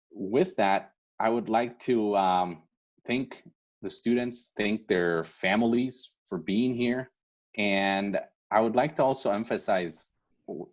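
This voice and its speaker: A man hosting an online awards event, speaking informally and from the heart in an earnest, encouraging way. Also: low-pitched. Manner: earnest, encouraging, often seeking agreement with "right?". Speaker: event host for a scholarship foundation.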